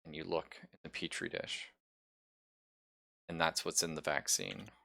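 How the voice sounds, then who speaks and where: A man improvising narration as game master of a horror tabletop roleplaying game, with halting, suspenseful storytelling. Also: extremely low pitch, lively intonation, creaky, pushed and bright.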